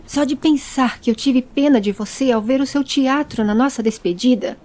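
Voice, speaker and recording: A woman's voice: high voice, moderate pace, darkish tone, lightly acted. A woman voicing a hot-tempered, protective male traveller in an audio story, scolding in an angry, emphatic way. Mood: exasperated.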